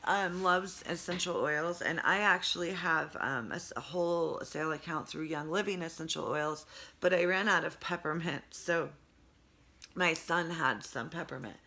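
Hoarse, congested woman in her 40s, bright tone, rambling daily video diary into a close phone camera. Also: sits low, normal speed, a little hiss or hum. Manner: rambling, many fillers, talks with her hands. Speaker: vlogger. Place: home room with low sloped ceiling. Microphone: phone camera close up, earbud headset.

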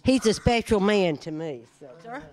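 A woman's voice: medium pitch, lively intonation, moderate pace, a little mellow. An older woman hosting a birthday gathering at a senior center, folksy and affectionate, speaking into a handheld mic in a large community room. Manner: folksy, calls people honey. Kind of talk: casual emcee chat.

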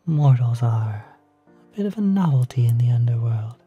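A performer voicing a courteous, eager demon hotel receptionist in a soft, whispery, reassuring ASMR roleplay. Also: medium pitch, animated, moderate pace, breathy edge, muffled recording, soft background music. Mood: smooth, inviting. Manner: polite, reassuring, salesmanlike.

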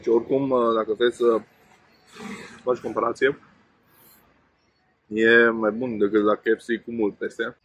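A man's voice: mid-range voice, long pauses, husky, lo-fi sound. A man in his thirties casually reviewing food outdoors, chatting with frequent fillers while he eats. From Romania. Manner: relaxed, chatty, many fillers while eating. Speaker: food vlogger. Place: outdoor table beside a main road. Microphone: camera on table in front. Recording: faint road noise outdoors. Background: passing traffic and outdoor ambience.

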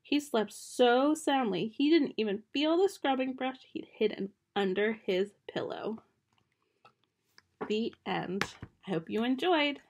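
Young woman reading a kids book into her webcam, gentle storytime voice, a bit gravelly. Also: on the high side, lively intonation, slow. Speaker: children's book reader. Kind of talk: reading a picture book aloud. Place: small bedroom at home, indoors. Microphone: webcam close up.

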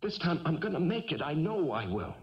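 An actor playing a weak, evasive ex-convict husband who pleads and stammers, in 1970s radio drama style. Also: male voice, on the high side, sing-song, fairly quick, very noisy. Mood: anxious, pleading. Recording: muffled vintage radio broadcast.

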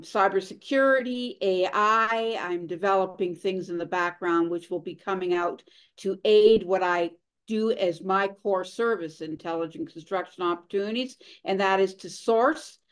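Female guest, middle-aged, mid-range voice, measured and earnest, video-call sound. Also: medium pitch. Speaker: guest, consultant. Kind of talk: interview answers.

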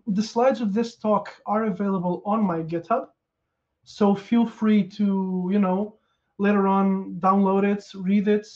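A man's voice: sits high, dull audio. A man in his thirties from Portugal with a Portuguese accent, giving a casual technical conference talk over a headset, with a lot of ums and uhs. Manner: relaxed, lots of ums and uhs, restarts. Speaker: conference speaker. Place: small room at home.

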